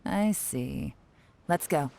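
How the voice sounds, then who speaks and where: A woman playing a spymaster in a video game, calm and cool, in full command. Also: low-pitched, extremely slow, gravelly, full character voice. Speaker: spymaster leading an investigation. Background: game music.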